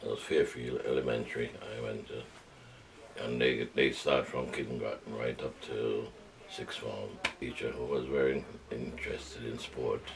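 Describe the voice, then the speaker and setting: A Jamaican man in his seventies recalling his village childhood in an oral history interview, unhurried and reflective. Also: very deep voice, steady tone, vocal fry, poor audio. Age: 70s. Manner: unhurried, reflective storytelling with hesitations.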